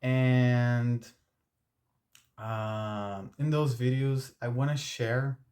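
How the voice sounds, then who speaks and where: A man's voice: mid-range voice, flat delivery, drawn-out words, darkish tone. A young Brazilian man with a Brazilian Portuguese accent, a native Portuguese speaker, talking to camera for his channel in a hesitant way with many fillers and restarts. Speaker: YouTube channel host. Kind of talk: unscripted talk to camera.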